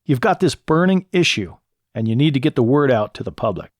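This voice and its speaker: An American man hosts a solo instructional podcast in a medium-pitched voice. He works through practical advice step by step, reading from prepared notes. The sound is close and dry, with very little room on it. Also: very animated, fairly quick, no echo. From lives in California. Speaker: podcast host. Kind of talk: solo podcast talk, scripted instructional narration.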